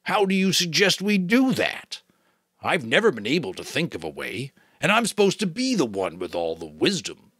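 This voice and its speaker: A man voicing an old male keeper of memories, weary and wise, speaking in a measured, rueful way. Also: a little high, wildly swooping pitch, fairly bright tone, husky, no echo, clean studio sound, voice pushed up, heavily acted. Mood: wry.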